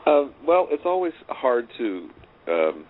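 A middle-aged man speaking as an interview guest, reflecting casually in a halting, thoughtful way. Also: mid-range voice, very animated. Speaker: interview guest, radio drama writer. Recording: noisy, muffled phone-like line.

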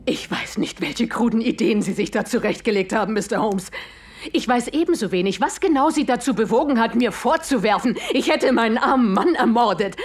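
An actress playing a haughty, indignant widow in an audio drama, speaking formally and with control. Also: female voice, a little high, lively intonation, brisk, lightly acted. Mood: cold, affronted.

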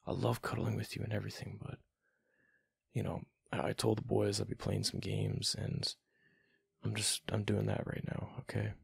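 A man playing a young gamer boyfriend in an ASMR roleplay, reluctant but affectionate, with halting, slangy, casual talk. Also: little pitch movement, dry recording, exaggerated voice. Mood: soft, reluctant, affectionate. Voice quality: deep, gravelly, breathy murmur at times. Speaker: voice actor in boyfriend roleplay audio.

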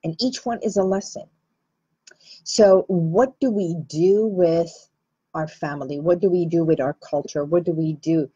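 Slow, mellow woman with an Armenian accent. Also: on the low side, slightly rough, lo-fi sound. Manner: slow, thoughtful, earnest. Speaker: healing practitioner and host. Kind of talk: reflective talk to camera.

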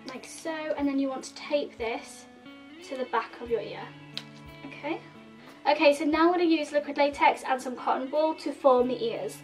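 Woman, 30s, high bright voice, nasal sore throat, chatty explainer, distant mic with faint music. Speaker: makeup vlogger. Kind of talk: casual tutorial talk to camera. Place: indoor room in front of a red plaid backdrop.

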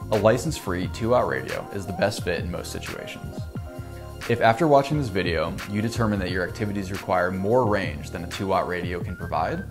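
Young man explaining radio range. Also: fairly low pitch. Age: young adult. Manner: clear, even, informative delivery. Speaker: company presenter. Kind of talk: scripted product explainer. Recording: music bed underneath.

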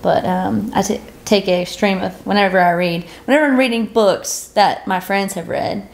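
A woman's voice: medium pitch, normal speed, gravelly. A woman in her twenties co-hosting a podcast in casual chat, effusive and self-deprecating, often dropping in "like". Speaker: podcast co-host. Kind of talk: casual chat about books. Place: living room sofa, indoors.

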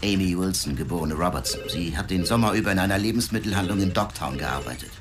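An actor playing a sober newspaper editor in a radio play, briefing in a factual, clipped way. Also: male voice, low voice, fast talker, natural voice. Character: matter-of-fact newspaper editor, sober man at work. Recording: clear ambient noise behind the voice.

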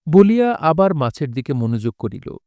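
A man's voice: on the high side, sing-song, darkish tone, no echo. Solemn male narrator, close and dry. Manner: solemn, measured dramatic recitation. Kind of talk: literary scripted narration of an audio drama.

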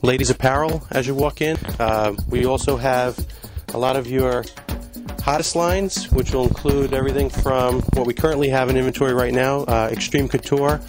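A man's voice: mid-range voice, fairly even intonation, normal speed. A man in his thirties from Long Island giving a casual, filler-heavy walkthrough of his store, speaking into a handheld mic. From Long Island, New York. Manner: conversational, many fillers and restarts. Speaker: store owner giving a tour. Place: indoor retail store. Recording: background music in a shop. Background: music playing in the store.

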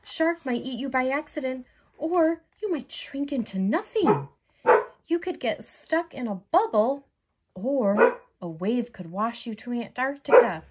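A woman's voice: high voice, lively intonation, unhurried, very noisy. A woman voicing an anxious, exasperated jellyfish, fretful and incredulous, in a picture-book read-aloud. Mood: alarmed, worried.

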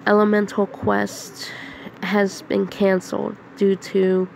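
A woman with a head cold, sounding nasal and hoarse, talking to her channel's viewers in a slow, halting casual announcement. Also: mid-range voice, completely flat delivery, a little hiss or hum, poor audio. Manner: slow, halting, with frequent throat clearing and coughs. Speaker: channel host. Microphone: phone screen recorder.

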